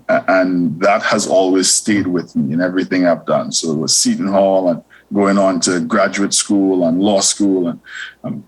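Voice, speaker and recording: A man in his forties from Trinidad and Tobago, a university administrator answering interview questions in a measured way with many fillers and restarts. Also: low-pitched, slightly rough, low-quality recording.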